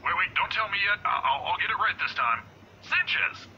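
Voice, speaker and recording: A man's voice: high voice, sing-song, fairly quick, muffled recording, noisy background. A man voicing a chatty, kindly, fumbling old security guard in visual novel game dialogue, with a hesitant, forgetful way of talking. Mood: playful, puzzled. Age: older.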